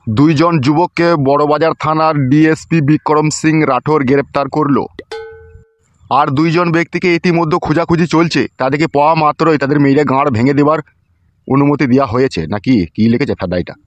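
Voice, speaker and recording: A man's voice: a little high, steady tone, brisk, no room sound, dull audio, natural voice. A man playing a coarse, scolding, suspicious middle-aged village father in a comedy cartoon. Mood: grumbling, reading aloud.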